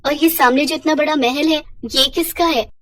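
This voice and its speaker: Woman as an anxious high-pitched young village wife. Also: steady tone, normal speed, a bit bright. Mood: frightened, puzzled. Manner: fretful, pleading, questioning.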